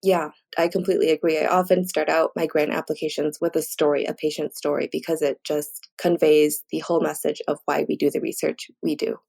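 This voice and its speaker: A woman's voice: low-pitched, flat delivery, a little fast, vocal fry, hardly any pauses, darkish tone. A woman speaking as an expert guest in an interview, explaining academic research in structured, articulate points with examples.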